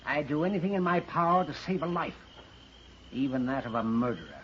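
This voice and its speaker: An actor playing an exasperated, principled and defiant frontier doctor in a 1950s radio western. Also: male voice, on the high side, expressive, fairly quick, natural voice. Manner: exasperated, firm. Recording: muffled old radio recording, background noise.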